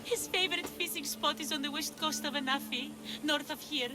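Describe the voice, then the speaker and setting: A woman's voice: high voice, brisk, natural voice. A female actor playing an anxious but determined fisherman's wife in a video game cutscene, earnest and imploring. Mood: pleading, hopeful.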